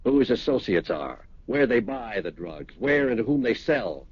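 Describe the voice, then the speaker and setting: An actor playing a grave, persistent police lieutenant in a 1970s radio drama, measured and matter-of-fact. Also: male voice, mid-range voice, very animated, breathy edge, dry recording. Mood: insistent. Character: persistent, grave male police lieutenant breaking bad news. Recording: dull vintage radio recording.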